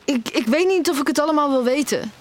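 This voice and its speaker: A woman's voice: high-pitched, expressive, fast talker, slight background noise. Blunt, shaken young female student. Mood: shocked, blunt. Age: young adult. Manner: blunt, direct, questioning. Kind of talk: naturalistic audio drama dialogue.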